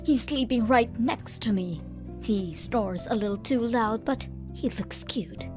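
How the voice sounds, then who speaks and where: A woman's voice: a little high, unhurried, lightly acted. A woman voicing a brazen, taunting sister in a manga dub. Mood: smug, taunting. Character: brazen, smug younger-adult woman, scheming husband-stealing sister. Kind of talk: manga dub dialogue acting. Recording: music bed under the voice.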